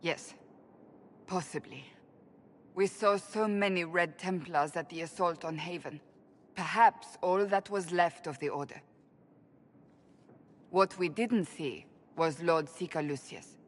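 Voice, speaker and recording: A woman's voice: fairly low pitch, takes it slowly, long pauses. Grave, determined warrior woman, reverberant. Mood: troubled. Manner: formal, grave. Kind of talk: video game dialogue. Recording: long hall-like reverb.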